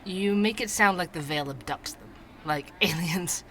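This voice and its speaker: Bright-voiced, chatty male podcast host. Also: sits high, unhurried, a little hiss or hum. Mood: friendly, teasing. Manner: conversational, wry, explanatory. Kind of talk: scripted fiction podcast narration and interviews.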